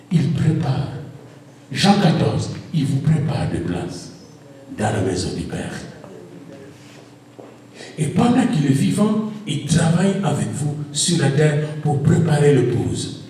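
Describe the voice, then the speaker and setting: A male pastor preaching a sermon in French that is not his first language, building to shouted refrains. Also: sits high, slight background noise. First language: an African language. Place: church. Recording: echoey hall, voice from far off.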